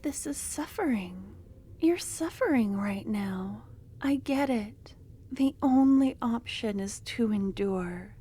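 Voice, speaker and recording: A woman performs an obsessive female cultist who dotes on her captive, her voice sitting a little high but mellow and dark in tone. She speaks very slowly, soft and close, often sinking into hushed whispers, sweet and threatening at once. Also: lively intonation, slightly rough, exaggerated voice. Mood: possessive, tender. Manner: soft-spoken, drifting into whispers; tender yet menacing. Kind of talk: ASMR roleplay.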